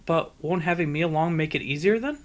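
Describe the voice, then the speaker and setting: A man's voice: a little high, fairly quick, natural voice. Guy doing a bookish young girl unicorn, polite, bit hesitant, audiobook pony character. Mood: curious. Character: modest, studious young female unicorn pony. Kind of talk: fan fiction audiobook character voice.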